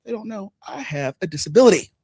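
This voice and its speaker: A man of forty hosting a casual political chat, telling stories animatedly, swinging between loud and hushed. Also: high-pitched, expressive, fast, husky. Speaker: podcast host.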